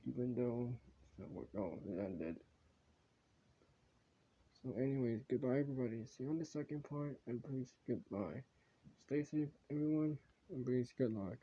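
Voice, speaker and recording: A man speaking casually as an amateur video creator, improvising in a halting, rambling, repetitive way on a dull home recording. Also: medium pitch, mellow, slightly rough. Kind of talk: casual improvised commentary and sign-offs.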